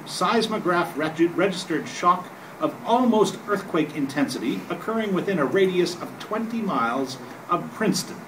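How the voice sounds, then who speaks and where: A man's voice: on the high side, lightly acted. An older man playing an earnest radio commentator reporting live, reading a 1930s radio play from a script. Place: hotel meeting room at a convention panel. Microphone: camera across the table. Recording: distant camera mic, clearly noisy room.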